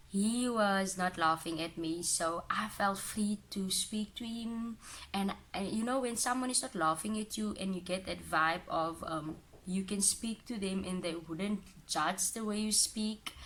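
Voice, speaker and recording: Young woman w afrikaans accent, slightly low voice, lots of ums and likes, chatting to her viewers. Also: a bit bright, poor audio. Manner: hesitant, many fillers and restarts. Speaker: vlogger. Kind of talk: casual storytime.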